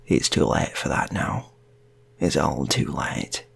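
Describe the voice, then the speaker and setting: A man's voice: extremely low pitch, lively intonation, takes it slowly, gravelly, breathy edge, voice pushed down, full character voice. A man voicing an unhinged, desperate gunman who craves godhood, intense and repetitive, in an improvised story. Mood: bitter, forlorn.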